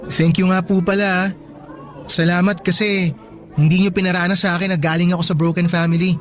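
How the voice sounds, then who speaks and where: A man plays a teenage boy in a radio drama with a high young voice. He is earnest, polite and full of affection, with faint music behind him. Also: flat delivery, brisk, a faint crowd, natural voice. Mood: grateful, moved. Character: grateful, affectionate teenage boy, graduating student. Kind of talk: Tagalog radio drama acting.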